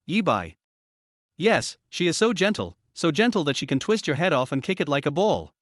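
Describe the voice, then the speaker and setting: A calm young man with a dry, teasing edge, read out by a man. The delivery is lively and fairly high, and the sound is close and dry. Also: normal speed, dry recording. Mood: sarcastic.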